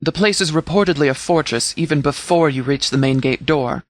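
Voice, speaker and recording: A man playing a stern sheriff in a video game, giving formal, measured briefings with authority. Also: fairly high pitch, no echo, natural voice. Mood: grave, resolute. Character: stern, formal sheriff commanding a post-apocalyptic settlement militia. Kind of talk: scripted video game dialogue, military briefing.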